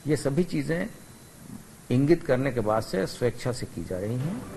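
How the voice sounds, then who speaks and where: A man giving a formal official statement in halting, bureaucratic phrasing, recorded in a reverberant space. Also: mid-range voice, expressive, creaky. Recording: reverberant hall, faint background noise.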